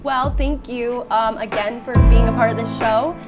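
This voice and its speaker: High-voiced young woman hosting. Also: steady tone, normal speed, loud music behind the voice. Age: young adult. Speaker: talk show host. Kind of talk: student TV interview. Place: student television studio.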